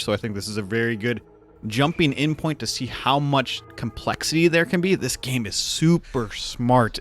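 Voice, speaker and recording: Male video host, mid-pitched, informal and lightly joking game commentary, music underneath. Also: a bit bright. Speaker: video host reviewing games. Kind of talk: casual scripted video commentary. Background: background music.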